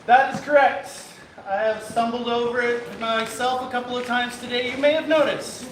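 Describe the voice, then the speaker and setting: A man's voice: very high-pitched, fairly even intonation, moderate pace. A man speaking as a new prince at a court, friendly and encouraging in a light way. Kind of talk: ceremonial remarks and casual exchange. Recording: distant mic in an echoey hall with noise.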